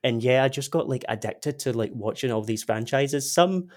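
A man's voice: medium pitch, normal speed. A Scottish man chatting casually as a podcast guest, self-deprecating and given to playful exaggeration. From Scotland. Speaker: podcast guest, meme account creator. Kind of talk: casual chat.

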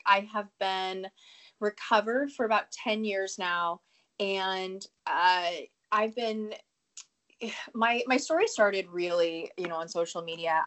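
A woman in her thirties with a cold, speaking as a podcast guest in an earnest, talkative way, with frequent fillers and quick self-conscious laughs. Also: mid-range voice, fairly bright tone. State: has a cold, a bit sniffly. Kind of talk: conversational interview answer.

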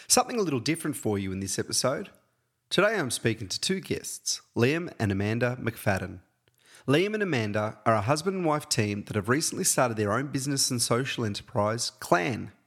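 Earnest male podcast host. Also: mid-range voice. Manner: earnest, slightly halting when unscripted. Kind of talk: scripted introduction and interview.

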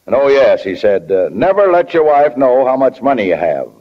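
A man's voice: medium pitch, very animated, normal speed, lightly acted. An actor playing a kindly, flustered middle-aged small-town father in 1940s radio drama, hesitant and folksy, often fumbling for words. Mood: folksy, reminiscing. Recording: dull, lo-fi 1940 radio recording.

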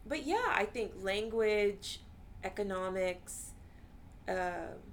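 A woman's voice: medium pitch, animated, takes it slowly, a little hiss or hum. A woman therapist whose first language is Urdu, talking earnestly in a casual interview and often saying "you know.".

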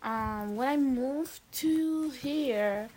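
A teenage girl from Lebanon, a high school student answering interview questions in a casual, hesitant way with many fillers and restarts. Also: drawn-out words, a little mellow. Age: teens.

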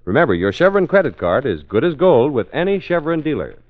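A man's voice: a little high, very animated, fairly quick, faint music behind. A man with an American accent announcing in 1940s radio style, reading scripted narration and sponsor copy. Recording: muffled vintage radio recording.

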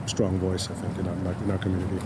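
Thoughtful Tongan-born man in his thirties, low voice. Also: monotone, fast. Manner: thoughtful, searching, many restarts. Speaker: artist and guest. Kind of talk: reflective conversational interview. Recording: noisy background.

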